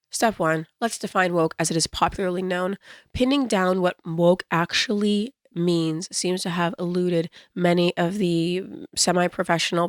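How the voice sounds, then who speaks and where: Hoarse woman with a low voice. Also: no echo, studio quality. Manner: wry, joking asides, conversational. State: hoarse, strained voice from illness. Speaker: video essay host. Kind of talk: casual commentary to camera.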